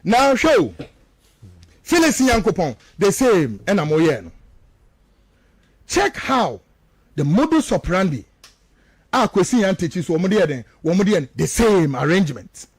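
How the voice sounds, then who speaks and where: A man's voice: high-pitched, very animated, a little slow, long pauses, slightly rough, no echo. A man with a Ghanaian accent giving impassioned, accusatory radio commentary, repeating phrases for stress and switching between two languages. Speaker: political commentator on a radio show.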